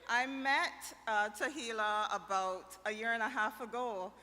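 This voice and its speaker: A woman's voice: on the high side, a little slow. A middle-aged woman with a Barbadian accent giving an earnest, emotional toast at a wedding reception. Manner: earnest, emotional, warm-spoken. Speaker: wedding guest giving a greeting. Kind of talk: heartfelt toast. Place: large reception hall. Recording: reverberant hall with faint ambience.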